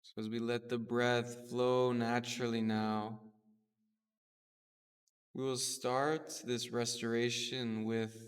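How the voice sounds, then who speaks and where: A man leading a guided meditation with gentle, soothing, unhurried instruction. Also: mid-range voice, smooth. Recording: distant mic in a reverberant space.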